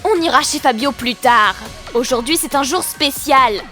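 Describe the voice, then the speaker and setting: A woman voicing a spirited, sociable young female pet dog in a children's cartoon dub, expressive and exclamatory. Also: high-pitched, moderate pace. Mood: upbeat. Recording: music behind the voice.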